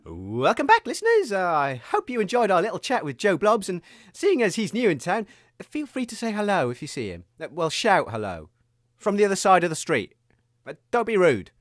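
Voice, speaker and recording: A man's voice: high voice, huge pitch swings, fairly quick, no room sound, clean studio sound. A man playing a hapless, flustered and hesitant radio host in a scripted comedy. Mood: cheery, hesitant.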